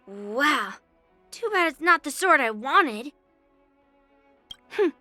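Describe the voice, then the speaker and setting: A woman voicing a spirited, confident young girl swordswoman in a video game, brash and expressive. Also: high voice, no room sound, full character voice. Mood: casual, curious. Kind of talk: video game character voice lines.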